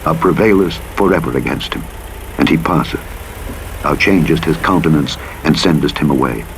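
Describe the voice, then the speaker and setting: A man narrating the Bible as an audiobook, in a solemn, formal, measured and dramatic reading style. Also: very deep voice, creaky, poor audio. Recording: playback under loud truck engine noise. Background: truck engine and vehicle noise from a driving game.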